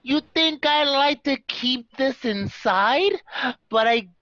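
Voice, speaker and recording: A woman's voice: high-pitched, animated, slow, fairly bright tone, no echo, full character voice. A woman acting a bitter, indignant, gossiping mobster's wife in a radio play. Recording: dry, slightly dull home recording.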